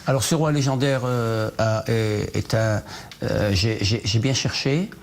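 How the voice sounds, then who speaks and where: A man's voice: fairly low pitch, measured pace, gravelly, a little hiss or hum. A man from Morocco, a novelist, answering questions in a TV news studio, hesitant with many fillers and restarts. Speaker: novelist guest. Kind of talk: TV news interview.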